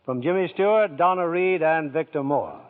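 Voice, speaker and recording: High-voiced 1940s radio host, tinny. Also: male voice, moderate pace. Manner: polished, genial announcer delivery.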